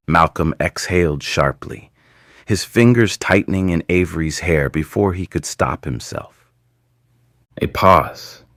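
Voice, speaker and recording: Deep-voiced man narrating slowly and deliberately. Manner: measured, deliberate phrasing with dramatic pauses. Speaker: audio story narrator. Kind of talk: scripted narration of intimate romance fiction.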